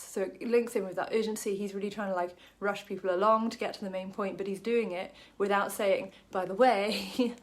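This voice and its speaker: A woman from Wales talks through a short Bible passage in a relaxed, chatty way. She fills her sentences with ums and drops in small jokes about herself. Her voice sits at an ordinary middle pitch. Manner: chatty, many ums, self-deprecating jokes. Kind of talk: casual Bible study talk to camera.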